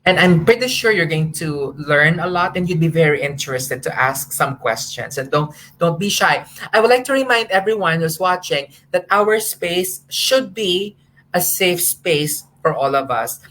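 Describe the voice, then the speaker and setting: A woman's voice: on the low side, animated, normal speed, a bit bright, low-quality recording. A woman moderating a live online talk, earnest and careful, with many small fillers and restarts.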